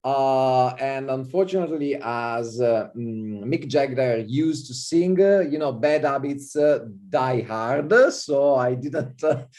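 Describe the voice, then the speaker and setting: A man with an Italian accent gives a university lecture over a video call, his voice warm and mellow. He talks in a relaxed, easygoing way, dotting his sentences with fillers and a steady stream of "okay". He speaks at an everyday pitch through a computer mic. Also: slow pace, few pauses. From Italy. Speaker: visiting professor.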